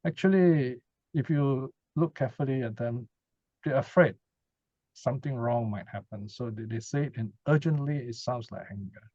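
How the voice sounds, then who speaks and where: A man's voice: medium pitch, moderate pace, dark tone, no echo. A man giving an informal Buddhist teaching talk and answering questions, conversational and often checking in with short tag questions. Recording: dull lo-fi sound, dry.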